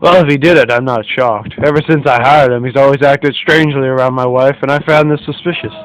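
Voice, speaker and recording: Man as a fast-talking, haughty rich gentleman. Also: mid-range voice, steady tone, close to an everyday voice, low-quality recording. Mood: brusque. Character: haughty, dismissive wealthy gentleman of the 1940s. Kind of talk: amateur internet radio play acting.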